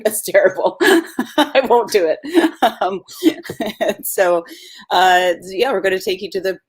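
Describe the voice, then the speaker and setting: A woman's voice: lowish voice, breathy edge. A woman actress being interviewed on a video call, chatting casually, upbeat and giggly, rambling through her stories. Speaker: actress, guest.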